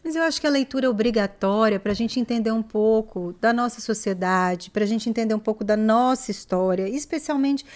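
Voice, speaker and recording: A woman hosts a relaxed book discussion in a fairly high voice. She speaks thoughtfully, hesitating and filling pauses as she hunts for the right phrase. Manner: hesitant, searching for words with fillers. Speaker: host. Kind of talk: casual book review discussion.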